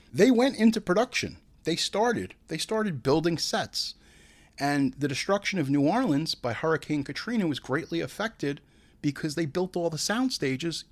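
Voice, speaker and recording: A man hosting a movie podcast, delivering crude, profane comedic film commentary and theatrically acting out imagined dialogue. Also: mid-range voice. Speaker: host of a movie review podcast. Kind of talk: comedic film commentary mixing read facts with ranting asides.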